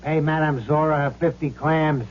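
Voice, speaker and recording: An actor playing the ghost of a brash, wisecracking gangster in a 1970s radio drama, talking in tough, curt, bossy slang. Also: male voice, fairly high pitch, fairly even intonation, moderate pace, slight background noise, close to an everyday voice. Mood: brash, impatient. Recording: muffled old radio broadcast.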